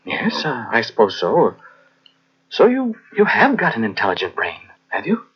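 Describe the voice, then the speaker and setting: Husky, meek, flustered man. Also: mid-range voice, huge pitch swings, normal speed. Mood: resigned, tender. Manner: hesitant, stammering, mild. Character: meek, flustered, resigned man befriending a machine. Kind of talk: old-time radio drama acting. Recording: muffled old radio recording from cassette.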